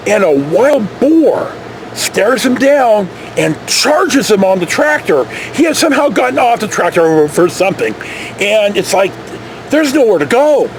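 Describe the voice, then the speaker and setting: Male American vlog storyteller, lively intonation, high register, folksy and digressive. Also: a little hiss or hum, poor audio. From California. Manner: folksy, mildly profane, digressive. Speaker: storytelling vlogger. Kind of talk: casual rambling anecdote.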